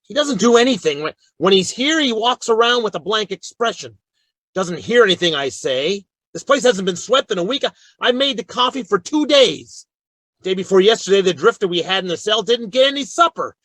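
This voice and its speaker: An American actor playing a gruff, stubborn frontier lawman in a western radio drama, terse and brooding. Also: high-pitched, sing-song, fairly quick, fairly bright tone, no room sound, exaggerated voice. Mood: exasperated.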